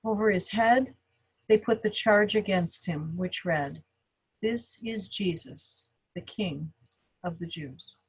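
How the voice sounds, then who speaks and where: A woman's voice: mid-range voice, slow pace, slightly rough, poor audio. A woman pastor reading scripture aloud in a dramatic group reading over a video call. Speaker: Lutheran pastor.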